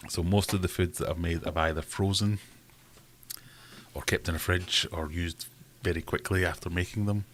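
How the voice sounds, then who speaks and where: A man's voice: low-pitched, steady tone, normal speed, a bit bright, slight background noise. A man hosting a casual livestream from home, rambling and self-deprecating as he reads out chat comments. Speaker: livestream host.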